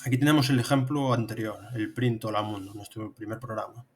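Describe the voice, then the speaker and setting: A man's voice: on the low side, fairly even intonation, a little fast, husky. A man in his thirties with an accent from Spain, giving step-by-step tutorial narration as an instructor. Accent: Spanish from Spain. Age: 30s. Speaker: programming instructor. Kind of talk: tutorial narration over screen demonstration.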